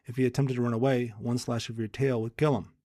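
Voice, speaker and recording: A man's voice: mid-range voice, level tone, fast talker, mellow, no room sound, studio quality, natural voice. Man reading as clever, bossy storybook rabbit. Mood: shrewd.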